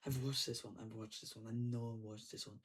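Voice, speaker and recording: A man's voice: fairly low pitch, level tone, fairly quick, fairly bright tone, a little hiss or hum. A man giving casual reaction commentary in loose, informal, repetitive remarks. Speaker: reaction video creator.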